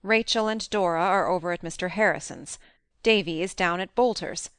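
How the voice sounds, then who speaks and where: A woman voicing a stern, reserved elderly farm woman in an audiobook, low, quiet and clipped. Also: moderate pace, smooth, no room sound, studio quality, close to an everyday voice. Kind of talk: audiobook character voice.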